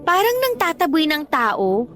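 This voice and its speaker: A woman's voice: sits high, sing-song, measured pace, fairly bright tone, soft background music, heavily acted. A young woman playing a friendly, frank, talkative college student in a Tagalog radio drama. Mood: frank, teasing. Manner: candid, teasing, talkative.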